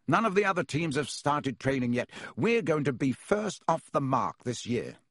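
A man's voice: fairly high pitch, big pitch swings, dry recording, clean studio sound, voice pushed up, exaggerated voice. A man reading an audiobook, voicing a burly teenage sports captain who talks heartily and with crazed enthusiasm. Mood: hearty, keen. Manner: hearty, brisk. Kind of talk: audiobook character voice.

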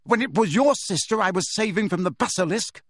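Man playing a hot-tempered teenage wizard boy, high and loud. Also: sing-song, moderate pace, dry recording, studio quality, exaggerated voice. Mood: angry, accusing. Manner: shouting, rough, aggressive. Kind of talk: audiobook character voice.

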